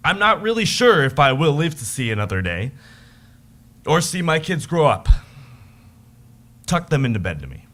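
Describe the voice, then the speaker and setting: A man playing a weary, homesick modern soldier, reading a heartfelt, halting letter to his wife. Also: on the high side, big pitch swings, fairly bright tone. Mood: hopeful, homesick.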